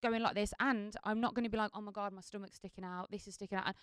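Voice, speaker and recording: A woman's voice: medium pitch, steady tone, very fast, a bit bright, clean tone, dry recording, clean studio sound. A woman, a wedding singer chatting on a podcast, talking chaotically with lots of fillers. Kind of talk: casual chat.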